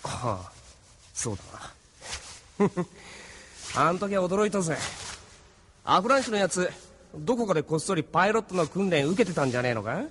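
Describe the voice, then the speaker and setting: Irreverent young rebel grumbler, husky, fairly high, swinging delivery, anime radio drama in a reverberant hall. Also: male voice, normal speed, long pauses, a little hiss or hum. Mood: amused, sardonic. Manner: rough, slangy, animated. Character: brash, grumbling young male resistance fighter, cocky and irreverent. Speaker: voice actor. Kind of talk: Japanese anime audio drama acting.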